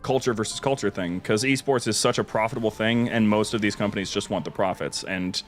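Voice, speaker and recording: A man's voice: on the low side, quick pace. Guy on a gaming stream, casual and opinionated, bit of music behind him. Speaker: stream host.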